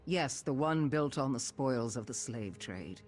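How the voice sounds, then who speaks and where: A man voices a sardonic veteran thief of noble birth in a fantasy video game. The voice is mid-pitched, with a dry, haughty, formal delivery. Music plays behind the words. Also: expressive, measured pace, natural voice. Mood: proud, bitter.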